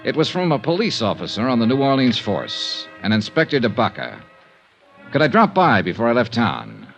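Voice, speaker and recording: Wry radio detective, lively pitch. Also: male voice, moderate pace. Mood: dry, brisk. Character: tough, wry insurance investigator narrating his case. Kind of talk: 1950s radio detective drama, first-person narration. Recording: muffled 1950s radio recording with music.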